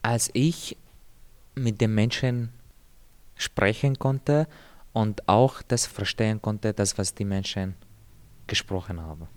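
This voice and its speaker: Low-voiced Syrian man, measured and thoughtful. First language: Arabic. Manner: thoughtful, measured, pauses and repeats words. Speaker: interviewee. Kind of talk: calm personal account.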